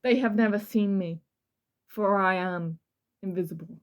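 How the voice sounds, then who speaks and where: A woman voicing a boastful murderous male spirit from hell, reading his letter in a slow, theatrical, menacing way. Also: mid-range voice, lightly acted. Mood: grand, menacing. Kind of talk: over-the-top dramatic letter reading.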